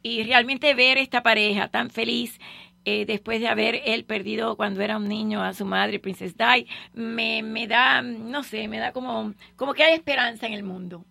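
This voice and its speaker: A woman's voice: fairly high pitch, steady tone, moderate pace, gravelly, pushed and bright, no echo. A woman hosting a talk radio show, chatting loosely with many fillers and restarts. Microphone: studio microphone.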